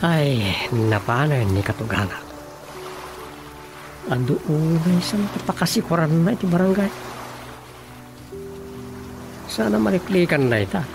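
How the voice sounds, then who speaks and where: A performer playing a calm, patient adult child advising a worried father, with an Ilocano Filipino accent, in a radio drama comedy. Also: mid-range voice, moderate pace, vocal fry, over music. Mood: reflective, concerned.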